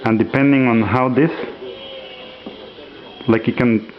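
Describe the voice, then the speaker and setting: A man casually demonstrating a homemade radio at home, relaxed and hesitant, with many fillers. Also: medium pitch, slow, muffled recording. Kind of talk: casual show-and-tell demo.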